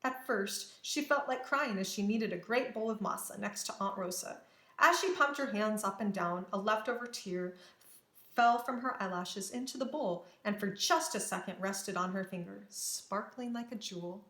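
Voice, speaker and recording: A woman reading a children's story aloud with expressive, dramatic narration and careful phrasing, in an echoey room. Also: mid-range voice, normal speed. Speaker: storybook reader.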